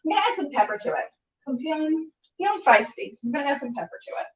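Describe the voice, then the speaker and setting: High-voiced woman in a roomy, distant recording. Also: lively intonation, rapid-fire. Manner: playful, self-deprecating, rambling, talks to the food. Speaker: host of a cooking and interview web show. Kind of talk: casual chatty cooking demonstration to camera. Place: home kitchen, cooking at a hot plate. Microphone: phone or camera set back from speaker. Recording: roomy, distant, slightly dull home recording.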